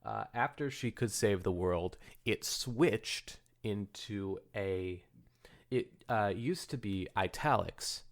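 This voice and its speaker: A man's voice: fairly low pitch, slow. A man co-hosting a podcast, chatting informally and interjecting with asides and commentary. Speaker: podcast co-host. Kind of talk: casual chat and commentary.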